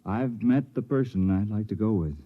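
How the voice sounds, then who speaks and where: A man acting as an earnest, awkward, lovestruck young newcomer in a 1950s radio drama, halting and bashful. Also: lowish voice, close to an everyday voice. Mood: earnest, smitten. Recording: muffled vintage radio recording.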